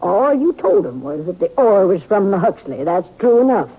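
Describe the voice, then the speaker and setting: An actress playing a shrewd, knowing old frontier woman with a husky voice and an amused drawl, in a 1950s radio drama. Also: mid-range voice, very animated, moderate pace. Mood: wry. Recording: tinny old radio transcription.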